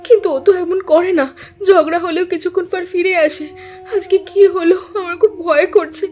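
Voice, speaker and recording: A woman's voice: very high voice, steady tone, brisk, darkish tone, soft background music. A woman playing an earnest, anxious young village sister in a cartoon drama, speaking in an emotional, pleading way. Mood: anxious, tearful.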